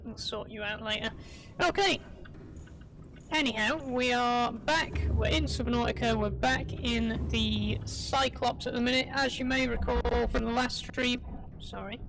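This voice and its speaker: A woman's voice: a little high, unhurried, vocal fry. A woman streamer giving rambling, chatty commentary while playing, her voice a little crackly, often stopping to correct herself. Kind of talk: casual live gameplay commentary. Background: game music.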